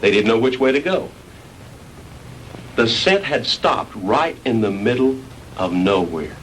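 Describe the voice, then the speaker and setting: Folksy, medium-pitched male searcher. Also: animated, unhurried, a bit bright. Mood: puzzled, animated. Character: folksy male searcher telling a puzzling report. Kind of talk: 1960s B-movie dialogue acting. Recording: noisy 1960s film soundtrack.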